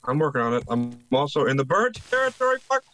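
A man's voice: a little high, sing-song, fast, a bit bright. A man bantering casually with other players in a game, joking and swearing as he reacts. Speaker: fellow player on voice chat.

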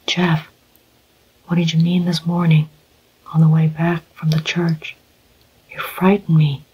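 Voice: mid-range voice, completely flat delivery, a little slow, warm tone, slightly rough, highs cut off. A reader whispering a timid young bride. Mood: frightened pleading. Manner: trembling, bewildered.

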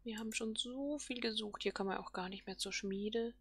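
A woman giving casual game commentary, musing softly and half whispering to herself. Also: on the high side, steady tone, moderate pace, slightly rough, no room sound. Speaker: let's player.

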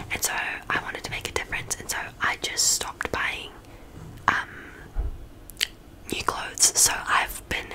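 A young woman with a New Zealand accent, whispering a rambling ASMR chat with lots of ums, close to a studio mic in her small bedroom. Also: poor audio. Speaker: ASMR creator. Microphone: studio mic on stand, close up.

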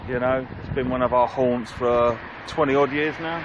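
A man's voice: medium pitch, fairly even intonation, normal speed, lo-fi sound. Man vlogging amid outdoor noise. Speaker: vlog host. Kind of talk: casual vlog chat. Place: outdoors by a roadside pub. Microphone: handheld camera at arm's length. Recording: clear outdoor traffic and ambient noise.

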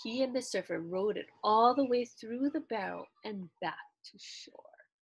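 A woman in her thirties reading a children's story aloud in an expressive, deliberate storytelling style. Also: on the high side, breathy edge, no echo, poor audio. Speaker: children's book reader. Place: small indoor room lined with books.

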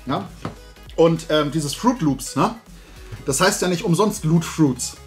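A man's voice: a little high, animated, slow pace, faint music behind. A middle-aged man chatting casually and colloquially as the host of a hobby video, rambling along in a loose, talkative way. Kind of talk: casual chat, product tasting and recommendations. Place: room at home lined with shelves of toy figures.

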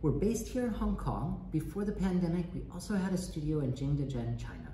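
Very low-voiced, dark American-born woman. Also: expressive, a little fast, slight background noise. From United States. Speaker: ceramic artist. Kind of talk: promotional self-introduction. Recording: roomy studio sound with faint ambience.

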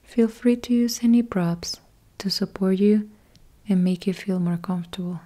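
A woman leads a guided sleep meditation as a yoga nidra instructor, speaking in a soothing hush with short measured phrases and soft, breathy endings. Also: medium pitch.

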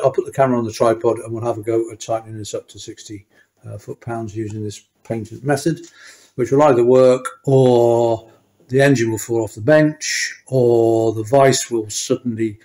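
Mature male mechanic, medium pitch, casual hands-on explainer delivery with wry, self-mocking humour. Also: a little mellow. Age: middle-aged or older. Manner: chatty, self-deprecating, wry asides. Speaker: motorcycle mechanic and host. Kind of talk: casual hands-on workshop tutorial. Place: small indoor workshop at a workbench.

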